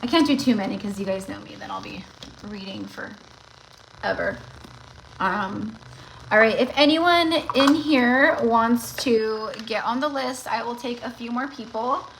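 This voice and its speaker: Chatty woman going a bit raspy. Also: fairly high pitch, measured pace, background noise. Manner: chatty and rambling, talks straight to viewers. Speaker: tarot reader hosting a livestream. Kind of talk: casual livestream chat.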